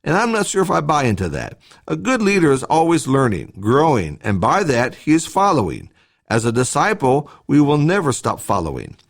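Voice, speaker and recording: A man delivers a scripted devotional for a church podcast. His voice sits at a middle pitch and swings widely for emphasis. He is measured and instructive, setting out his points in short, emphatic phrases. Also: wildly swooping pitch, vocal fry. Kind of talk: scripted devotional talk, read aloud.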